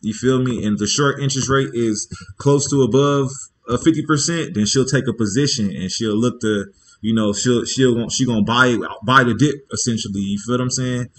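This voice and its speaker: A man hosting a laid-back livestream, chatting in loose slang and thinking out loud. He rambles through restarts and keeps checking in with his viewers. His voice sits at an ordinary, middle pitch. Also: moderate pace. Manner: loose and slangy, with frequent "you feel me" check-ins. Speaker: livestream host. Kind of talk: casual livestream chat.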